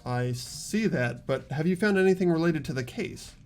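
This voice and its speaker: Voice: medium pitch, expressive, normal speed, a little mellow, faint music behind, lightly acted. A performer playing an earnest, inquisitive teenage schoolboy in game dialogue. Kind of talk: fan dub of visual novel game dialogue.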